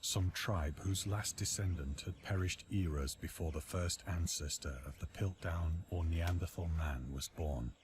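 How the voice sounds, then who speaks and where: An actor playing a haunted man who confesses his descent into madness, in grave, deliberate, literary narration. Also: sits low, noisy background. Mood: grave dread. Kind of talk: dramatic scripted horror narration.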